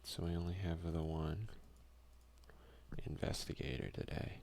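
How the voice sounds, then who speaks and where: A man doing a soft-spoken, unhurried ASMR game playthrough, reading text aloud with casual asides. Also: low voice, flat delivery, creaky. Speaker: let's play streamer.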